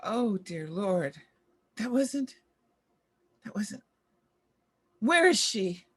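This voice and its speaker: Actress as a bewildered, boozy faded starlet in a screen drama, raspy edge, lively pitch swings, halting lines. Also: female voice, heavily acted. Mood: confused. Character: boozy, bewildered former child star woman. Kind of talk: screenplay table read acting.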